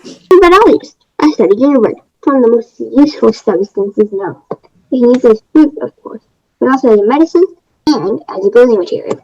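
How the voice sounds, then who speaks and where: A woman's voice: fairly high pitch, expressive, fairly quick, darkish tone, slight background noise. A woman playing a prim, aggrieved fairy-tale woman, matter-of-fact and self-justifying, in readers theater. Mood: prim, proud. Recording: dull, lo-fi microphone sound.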